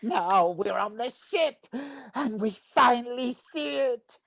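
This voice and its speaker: A woman voicing an excitable, earnest young bug child who plays pirates, in an animation table read. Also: on the high side, takes it slowly, vocal fry, no room sound, studio quality, exaggerated voice. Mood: playful.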